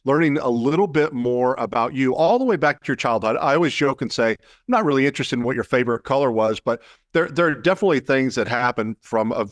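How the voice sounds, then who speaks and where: A man's voice: mid-range voice, no echo, studio quality. A man hosting an interview podcast, asking long, thoughtful, winding questions. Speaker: podcast host.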